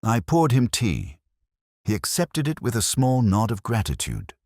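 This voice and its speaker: A man reading a detective story as a scripted audiobook narration in a measured, solemn, literary manner. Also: mid-range voice, wildly swooping pitch, a little mellow, dry recording, studio quality.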